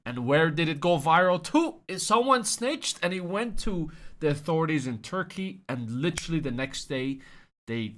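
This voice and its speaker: Young man, comedic commentary, mocking, fairly high voice, loud sudden outbursts. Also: expressive, normal speed. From Iran. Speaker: commentary host.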